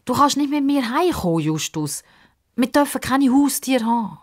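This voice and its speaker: A woman voicing an eager, impatient, excitable young girl in a children's story. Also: sits high, voice pushed up. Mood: disappointed. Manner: excitable, quick to interrupt.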